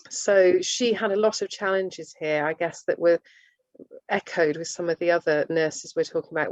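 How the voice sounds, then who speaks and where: A woman giving an informal lecture, halting and often restarting her sentences. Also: lowish voice, dry recording. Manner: halting, with many restarts and self-corrections. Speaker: speaker giving a talk on nursing history. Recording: dull lo-fi dry recording.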